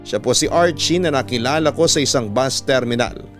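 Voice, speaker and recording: A man hosting a radio show, reading a letter as scripted storytelling with measured, dramatic phrasing. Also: medium pitch, faint music behind.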